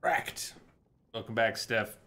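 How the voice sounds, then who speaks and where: A man's voice: fairly low pitch, takes it slowly, sharp tone, a little hiss or hum. A man in his thirties chatting casually with viewers while playing a video game, with playful, exclamatory commentary. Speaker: video game live streamer. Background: fantasy video game sounds.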